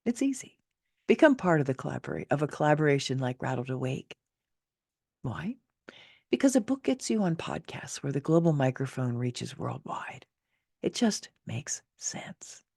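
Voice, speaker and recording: A woman's voice: low voice, big pitch swings, normal speed, gravelly, darkish tone, dry recording. Woman author, middle-aged, narrating her essay with emphatic motivational punch; close, dry recording. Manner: emphatic, theatrical, motivational delivery. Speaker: author reading own essay. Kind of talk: expressive scripted narration of a personal essay.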